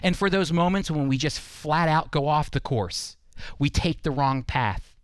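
A man's voice: fairly high pitch, measured pace, smooth, no room sound, clean studio sound. A man preaching a scripted Bible teaching, speaking earnestly and instructively straight to the listener.

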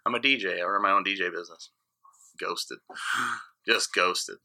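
A man in his late thirties talks in a halting, casual way, often stopping to restart a thought. His voice sits a little low and sounds very bright. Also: vocal fry. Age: 30s. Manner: halting, many restarts and fillers. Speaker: podcast guest, DJ. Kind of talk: casual chat.

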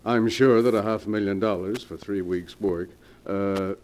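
Man playing a low, sly radio-drama accomplice. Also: fairly even intonation, unhurried, darkish tone, natural voice. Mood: wry flattery. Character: sly, greedy male accomplice, flattering and wary. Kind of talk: 1940s radio melodrama acting. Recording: noisy 1940s radio recording.

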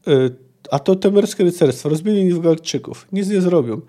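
A man's voice: high-pitched, lively intonation, normal speed, mellow. A man voicing a pompous, overconfident poet in a dramatic novel reading, with a haughty, declamatory manner. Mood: cocky.

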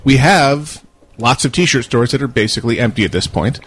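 A man's voice: mid-range voice, animated, moderate pace, husky. A guy at the table of a hobbyist internet radio show, trading banter and making announcements with his co-hosts. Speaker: podcast co-host. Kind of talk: casual group banter and announcements.

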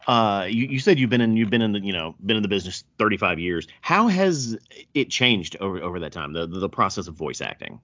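A man with a low voice co-hosts a casual interview. His pitch moves around a lot as he talks. The audio is dull and lo-fi. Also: sing-song, a little fast, a bit bright. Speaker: interview co-host.

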